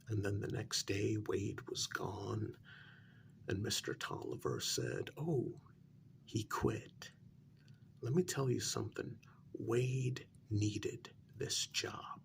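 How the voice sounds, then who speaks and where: A man playing an anxious working-class older brother in a soft-spoken ASMR role-play, speaking in a hushed, deliberate, insistent way. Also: creaky, full character voice. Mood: grim, hushed. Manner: low, deliberate, insistent, half-whispered warning.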